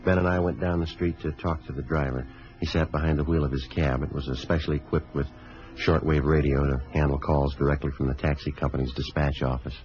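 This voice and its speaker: A dull vintage radio recording of a very deep-voiced police sergeant. He is calm and weary, talking quickly in clipped, matter-of-fact phrases, as in a 1950s detective drama. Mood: flat, businesslike. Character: calm, weary male police sergeant narrating his case.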